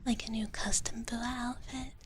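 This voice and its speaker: A woman livestreaming ASMR, chatting casually in a gentle, slow whisper and often repeating short phrases, close to the mic. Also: fairly high pitch, level tone, background noise.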